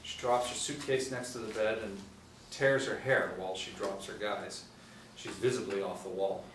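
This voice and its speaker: A middle-aged man narrates screenplay directions in a low voice. He is recorded in an echoey room, some distance from the mic, with faint noise behind him. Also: fairly even intonation, moderate pace, a bit bright. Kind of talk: scripted narration at a screenplay table read. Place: small indoor room, seated group reading.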